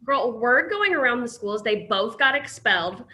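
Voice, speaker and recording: A woman's voice: fairly high pitch, expressive, moderate pace, sharp tone. Woman playing Martha, snide teen girl. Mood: gossipy. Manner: gossipy, snide. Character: mean gossipy teenage girl.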